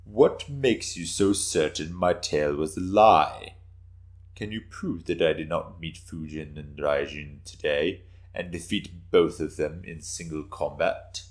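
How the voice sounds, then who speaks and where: A man's voice: extremely low pitch, a little slow. A man voicing a proud, defiant samurai warrior with stiff, formal speech in a dramatic reading of historical fiction.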